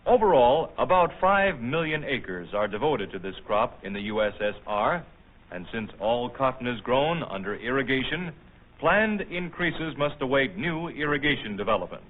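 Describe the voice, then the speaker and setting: An American man reading formal, measured, scripted narration in the manner of a 1950s documentary newsreel. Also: medium pitch, expressive, a little hiss or hum. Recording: tinny band-limited old film soundtrack.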